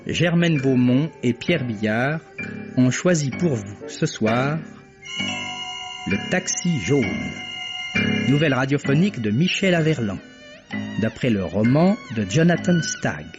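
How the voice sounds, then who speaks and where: A man's voice: medium pitch, sing-song, slow pace, long pauses. Man announcing credits over music. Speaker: radio announcer. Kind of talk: reading programme credits. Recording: dull lo-fi archive radio with music.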